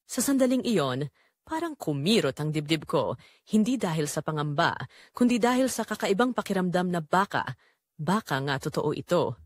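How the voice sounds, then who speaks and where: A woman's voice: on the low side, sing-song, moderate pace, dry recording, studio quality. A woman narrating a first-person dramatic story in an emotive, sentimental way.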